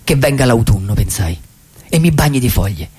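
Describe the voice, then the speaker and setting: An actor playing a lonely, lovelorn vagabond poet in a theatrical radio monologue, with a husky voice and halting, repeated phrases. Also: male voice, medium pitch, very animated, a little mellow. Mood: defiant, building.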